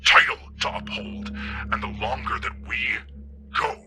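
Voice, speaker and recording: A man voicing a stern, gruff gang boss in a tabletop game, in a breathy, rough growl, curt and prone to swearing. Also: fairly low pitch, a little slow, lightly acted. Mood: stern, angry. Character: seething gruff male gang boss in a gas mask. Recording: background music under the voice.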